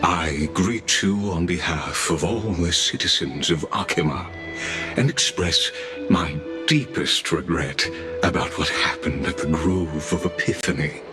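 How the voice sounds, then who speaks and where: A man voicing a courtly, deferential mechanical council envoy in a video game, speaking in a ceremonious, polite and measured way. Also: low voice. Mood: courteous, formal. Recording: loud music behind the voice.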